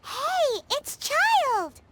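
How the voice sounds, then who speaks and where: A woman voicing a small, excitable, chirpy fairy companion girl in a video game, with a squeaky childlike voice. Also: drawn-out words, exaggerated voice. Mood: excited. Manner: refers to self by name, exclamatory. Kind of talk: video game cutscene dialogue. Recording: music behind the voice.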